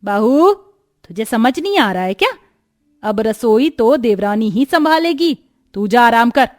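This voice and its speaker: High-pitched older mother-in-law, played by a woman, imperious, scornful, scolding, taunting, family moral-story drama. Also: sing-song, measured pace, a little mellow. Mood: commanding. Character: domineering, scornful older mother-in-law, sweet only to favourite daughter-in-law.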